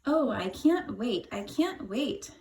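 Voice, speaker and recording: A woman voicing a bubbly, excitable young girl in a children's storybook. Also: fairly high pitch, slow, pitched above the performer's own voice, heavily acted. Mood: excited pleading. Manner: bubbly, squealing.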